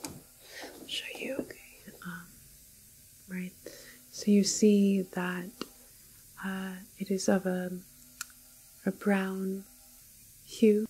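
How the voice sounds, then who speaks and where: Female ASMR doctor roleplay: hushed and whispery, very slow and halting, soft dark tone, calm clinical manner. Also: mid-range voice, a little hiss or hum, low-quality recording. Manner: hesitant, halting, gentle clinical explaining. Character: calm, apologetic female doctor in a quarantine facility. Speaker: ASMR performer playing a doctor.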